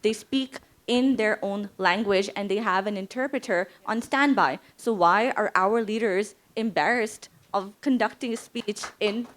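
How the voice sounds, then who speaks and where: A woman's voice: mid-range voice, a little slow, few pauses, fairly bright tone. Woman speaking on a panel. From Pakistan. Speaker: French teacher and panelist. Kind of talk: panel discussion, speaking off the cuff.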